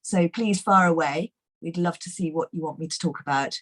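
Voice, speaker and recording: A middle-aged woman speaks in a low, hoarse, croaky voice. She gives a chatty, enthusiastic webinar talk, dotted with fillers. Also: normal speed. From England. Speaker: guest nutrition expert.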